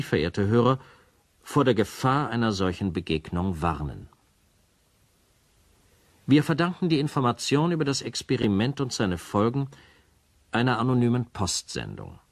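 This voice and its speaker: A man playing a radio announcer in a crime drama, formal, measured and sober in his scripted narration. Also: medium pitch, no room sound.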